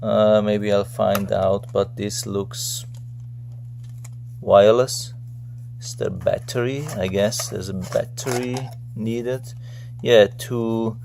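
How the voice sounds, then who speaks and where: A man's voice: lowish voice, fairly even intonation, slow, gravelly, a little mellow, slight background noise. A man giving a casual, unscripted product unboxing commentary, thinking aloud in a hesitant, rambling way. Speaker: product reviewer.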